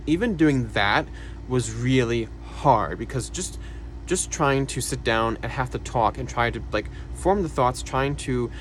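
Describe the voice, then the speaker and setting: A young man talking casually to camera about his own life, soft-spoken and hesitant, with many fillers and quiet sighs. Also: mid-range voice, slight background noise. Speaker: vlogger. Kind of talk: casual personal talk to camera.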